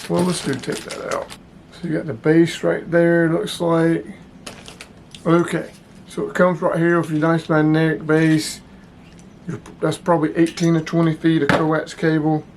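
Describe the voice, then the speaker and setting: A man's voice: on the high side, flat delivery, moderate pace, a little hiss or hum. A man giving a casual, plain-spoken product review as the host, talking informally to his viewers. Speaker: channel host reviewing radio gear.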